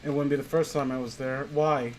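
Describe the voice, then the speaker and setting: A man's voice: medium pitch, fairly even intonation, fairly quick, a little mellow, slight background noise. A young man casually reading fan fiction aloud as a host, breaking off for sarcastic, sweary asides.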